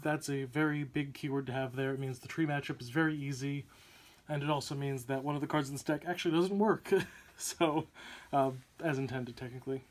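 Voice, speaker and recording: Slightly hoarse young man. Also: fairly high pitch. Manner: chatty, upbeat, many "uh" fillers. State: hoarse, sore throat. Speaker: card game channel host. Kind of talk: casual deck profile walkthrough.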